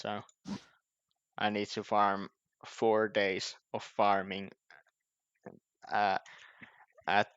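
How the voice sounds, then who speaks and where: A man giving casual gameplay commentary, thinking aloud in a halting, repetitive way as he plans. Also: lowish voice, a bit bright, smooth, no echo. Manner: halting, repetitive, planning as he goes. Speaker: gaming video creator. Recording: dull lo-fi mic, game music behind. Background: faint game music.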